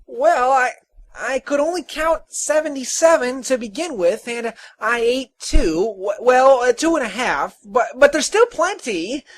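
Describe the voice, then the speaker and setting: Small timid tinker man, very high voice, swooping pitch, stammering, grumbling, storybook character. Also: lively intonation, a little slow. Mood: guilty, flustered. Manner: pleading, stammering, aggrieved.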